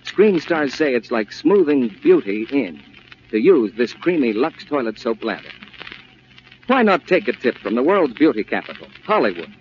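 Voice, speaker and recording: A man's voice: mid-range voice, very animated, normal speed, a little mellow. A man announcing and reading advertising in a polished, persuasive 1940s radio style. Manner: polished, persuasive sales delivery. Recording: muffled, hissy vintage radio recording.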